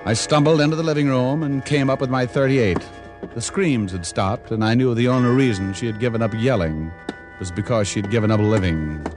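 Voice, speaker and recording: An actor playing a hard-boiled, wisecracking private detective in vintage radio noir, with a wry, deadpan, slangy delivery. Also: male voice, medium pitch, fairly quick, natural voice. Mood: deadpan narrating. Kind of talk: 1940s-50s radio noir acting and narration. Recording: vintage radio recording with music behind.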